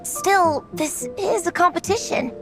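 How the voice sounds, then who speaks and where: High-voiced, earnest teenage girl. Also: animated, measured pace, full character voice. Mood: determined. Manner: earnest, hesitant at times. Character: determined, slightly unsure teenage girl training for race. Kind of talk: video game character acting. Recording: game music behind.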